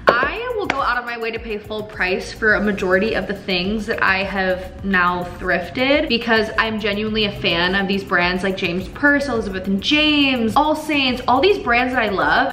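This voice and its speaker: A woman's voice: a little high, lively intonation, moderate pace, few pauses, bright, forceful tone, soft background music. A young woman in her twenties chatting casually to her camera, bubbly and full of "like", filming at arm's length in her apartment. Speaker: vlogger. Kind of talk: casual vlog chat. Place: bright home apartment, later inside a car. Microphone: handheld camera at arm's length.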